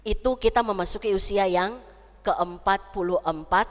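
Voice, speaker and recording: A woman's voice: mid-range voice, moderate pace. A woman pastor leading a church service, her voice nasal and congested from a cold, chatting easily with the congregation. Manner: chatty with the congregation, tags lines with "ya" and "gitu kan". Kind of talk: church service prayer and sermon. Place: church sanctuary. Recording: long church hall reverb.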